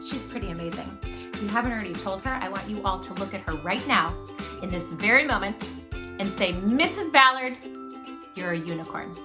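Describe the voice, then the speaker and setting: Lady doing a kids read aloud, super expressive, stretches out words for drama, faint music under it. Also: medium pitch. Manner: animated, dramatic pauses, drawn-out emphasis. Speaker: children's story reader and host.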